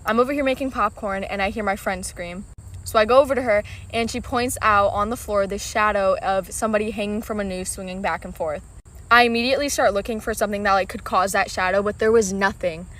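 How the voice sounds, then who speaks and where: A woman's voice: mid-range voice, brisk, a bit bright. A young adult woman casually telling a personal scary story. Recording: voice distant from the mic.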